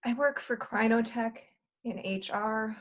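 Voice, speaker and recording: A woman's voice: on the high side, a little slow, lo-fi sound. A woman playing a cynical, money-minded twenty-seven-year-old in a comedy play reading, blunt and insistent. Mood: blunt, dry. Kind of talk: comedy play read aloud.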